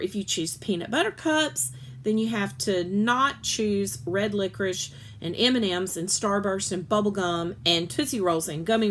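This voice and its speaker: Woman giving an expressive children's read-aloud. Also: medium pitch, a little hiss or hum. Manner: expressive, acts out dialogue, occasional stumbles and self-corrections. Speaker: storyteller reading a children's book aloud.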